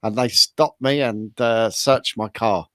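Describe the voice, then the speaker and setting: A man's voice: lowish voice, fairly even intonation, slow pace, no room sound. A man taking part in a show as a contestant, chatting casually and telling anecdotes.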